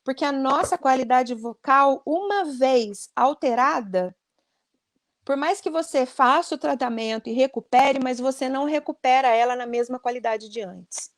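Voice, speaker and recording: High-pitched woman explaining voice health over a video call, very dry sound, keeps saying "né?". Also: normal speed, no room sound. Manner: earnest and explanatory, many "né?" tags. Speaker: speech therapist guest. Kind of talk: explanatory talk on voice care.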